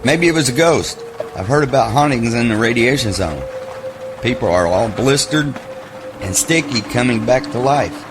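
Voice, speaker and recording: An actor playing a dutiful, practical army second-in-command in an audio drama. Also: male voice, mid-range voice, animated, normal speed, background noise, close to an everyday voice.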